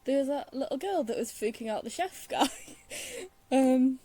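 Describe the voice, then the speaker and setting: Softly mellow, wry woman gaming. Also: high-pitched, expressive, fairly quick. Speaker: game streamer. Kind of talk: casual playthrough commentary. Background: faint game music.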